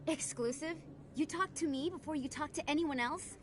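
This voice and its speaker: A woman's voice: high voice, normal speed, slight background noise, natural voice. An actress playing a keen, polite and businesslike female investigative reporter in a science-fiction video game. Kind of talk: scripted video game dialogue. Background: music.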